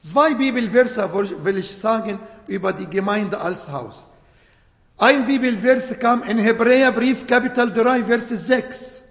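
A man whose first language is Arabic, preaching a sermon in slow, emphatic phrases with non-native grammar. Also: extremely high pitch, fairly bright tone. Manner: slow, emphatic phrasing with short broken clauses. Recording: echoey hall.

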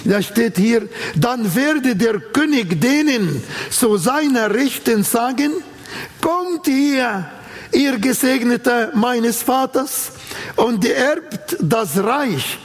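A man's voice: extremely high pitch, animated, slow pace, big-room sound. A man with an Arabic accent preaching an earnest sermon in a church setting, quoting scripture with emphasis.

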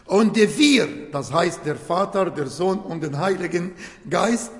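Slow, high-voiced preacher with Arabic mother tongue. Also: lively intonation. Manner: slow, emphatic phrasing with short broken clauses; non-native German grammar. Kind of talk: sermon. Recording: echoey hall.

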